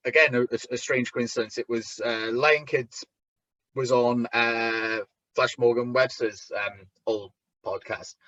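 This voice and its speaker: A man's voice: medium pitch, fairly even intonation, fairly bright tone, no room sound, dull audio. Man with a thick Welsh accent. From Wales. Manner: halting, frequent restarts and fillers. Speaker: wrestler and promoter guest. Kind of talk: casual interview chat.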